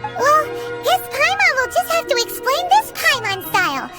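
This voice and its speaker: A tiny fairy companion with an extremely high, squeaky, childlike voice. She chatters as a bossy, excitable game guide and swoops up and down in pitch. Loud music plays underneath. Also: normal speed. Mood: playful storytelling. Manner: refers to self in third person, exclamatory. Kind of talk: video game character acting.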